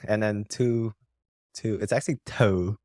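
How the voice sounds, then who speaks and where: A man hosting a casual podcast, talking in a relaxed, teasing way. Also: lowish voice, measured pace, no room sound, studio quality. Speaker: podcast host. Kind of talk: casual podcast chat with scripted intro.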